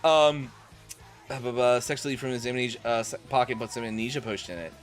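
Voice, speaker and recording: A man's voice: medium pitch, fast talker. A man doing a comic dramatic reading as a streamer, with exasperated, incredulous asides. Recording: music playing behind the voice. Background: music.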